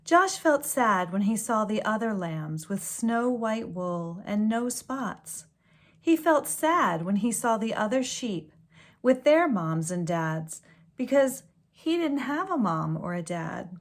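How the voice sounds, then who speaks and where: A woman reading a children's storybook aloud like a teacher, with expressive delivery and gentle asides. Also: mid-range voice. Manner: slow, deliberate, expressive storytelling.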